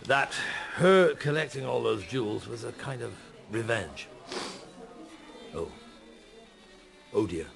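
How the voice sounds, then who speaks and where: A man's voice: medium pitch, animated, slow, vocal fry, long pauses, music in the background. An actor playing a pensive middle-aged police detective in a radio drama, musing and hesitant as he mutters his thoughts. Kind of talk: naturalistic radio drama acting.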